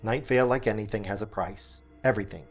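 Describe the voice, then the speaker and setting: A man playing an earnest small-town radio host, delivering a scripted monologue deliberately, with dramatic pauses and emphasis. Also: lowish voice, soft background music, natural voice. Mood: begrudging, earnest.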